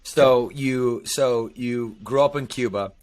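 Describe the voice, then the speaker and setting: A man's voice: medium pitch, slow, darkish tone. An American man hosting a casual interview, enthusiastic and talkative, asking long rambling questions. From United States. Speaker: podcast host.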